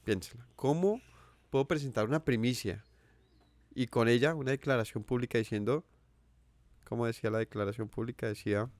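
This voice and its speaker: A man's voice: mid-range voice, a little fast, pauses often, darkish tone, dry recording. A Colombian man with a Colombian accent giving an earnest sermon, asking his listeners questions and repeating phrases for emphasis. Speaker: preacher. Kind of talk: sermon teaching.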